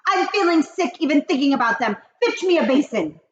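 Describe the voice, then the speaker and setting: A woman voicing the Grand High Witch, an imperious, shrieking female witch leader who drags out her commands. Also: animated, reverberant. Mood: gloating. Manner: slow, drawn-out, bellowing commands. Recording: dull lo-fi recording.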